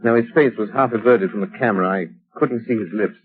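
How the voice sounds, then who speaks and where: An actor playing a calm, determined crime-fighter in a 1930s radio drama, speaking in an earnest, measured way. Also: male voice, on the low side, lightly acted. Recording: narrow, lo-fi 1930s radio broadcast with faint hiss.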